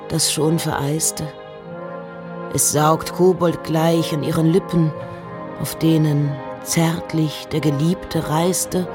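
A woman playing a reflective, intense poet in a slow, intimate dramatic recitation of letters and verse. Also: low-pitched. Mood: dread. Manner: measured, intimate, often dropping to breathy whispers.

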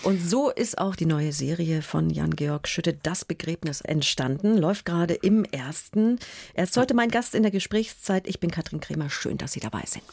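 Female radio host, slightly low pitch, husky tone, close dry sound, conversational interviewing. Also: normal speed, a little mellow, no echo.

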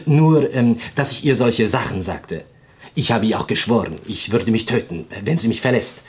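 An actor playing a nervous, theatrical pianist in his twenties in a radio play, with a foreign accent and gushing, halting speech. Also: medium pitch, animated, lightly acted. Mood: earnest, romantic. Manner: gushing, halting, melodramatic, inverted word order.

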